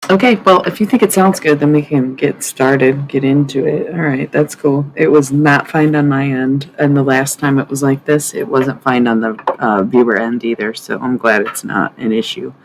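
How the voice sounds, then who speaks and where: Raspy woman rambling on her stream, swears a lot, gravelly, faint hiss behind. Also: rumbling low, steady tone, moderate pace, hardly any pauses, rough recording. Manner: rambling and loose, swears freely, chatting to viewers. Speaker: livestream host. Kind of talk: casual live-stream chat. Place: at home at night, others asleep nearby.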